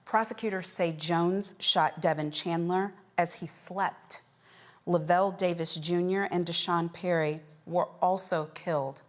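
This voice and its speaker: A woman news anchor reading scripted news in a measured, formal delivery. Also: lowish voice, steady tone.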